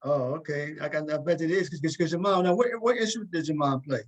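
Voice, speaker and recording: A man's voice: a little high, fast talker. A man hosting a talk show, interviewing conversationally and often repeating words as he forms his questions.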